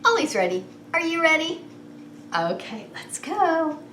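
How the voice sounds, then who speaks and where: A woman hosting story time as a preschool teacher, reading in a sing-song, animated way and coaxing small children with questions. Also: high-pitched, a little slow. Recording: roomy echo with some background noise.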